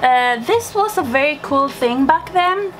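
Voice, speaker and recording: A woman's voice: high voice, lively intonation, a little slow, soft background music, rough recording. A young woman from Sweden chatting casually to camera in a playful, exclamatory, slangy way. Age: young adult. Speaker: vlogger showing childhood belongings.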